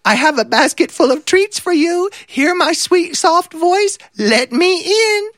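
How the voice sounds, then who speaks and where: A sly hungry big bad wolf. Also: male voice, lively intonation, a bit bright, no room sound, clean studio sound, heavily acted. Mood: fake sweet falsetto. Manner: growls low and slow, then fakes a squeaky sweet voice. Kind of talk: live storytelling.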